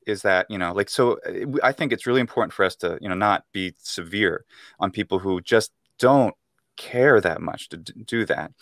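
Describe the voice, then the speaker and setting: A young American man talks through his ideas in a relaxed, rambling way, his voice fairly low and the sound close and dry. He speaks over an earphone mic on a video call from a room at home. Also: no echo. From United States. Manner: thoughtful, explains at length with fillers and restarts. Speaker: guest, Latin speaker and teacher.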